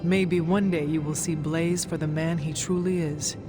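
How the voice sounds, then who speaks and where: Resolute warrior heroine played by an actress: lowish, formal, guarded, with clear music behind. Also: female voice, fairly even intonation, normal speed, natural voice. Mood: stern. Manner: formal, without contractions. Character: stern, guarded warrior woman, a resolute hero. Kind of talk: restrained video game acting. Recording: voice under clear background music.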